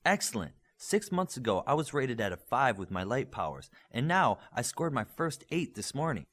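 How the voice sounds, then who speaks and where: A man voicing a quick, proud and friendly girl superhero trainee in an audiobook, confident in manner. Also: medium pitch, sing-song, fairly bright tone, slightly rough.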